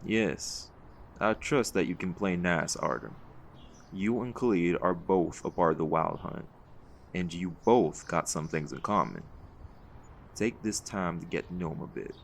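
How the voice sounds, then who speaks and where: A man playing a seasoned, folksy leader of a hunt in an audio roleplay, gravelly and reassuring, with a fond teasing streak. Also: low voice, measured pace, slight background noise. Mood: calm, encouraging.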